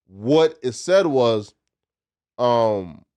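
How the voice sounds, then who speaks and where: A man's voice: medium pitch, expressive, drawn-out words, darkish tone. A man in a casual podcast debate, speaking emphatically and argumentatively in slang. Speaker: podcast panelist.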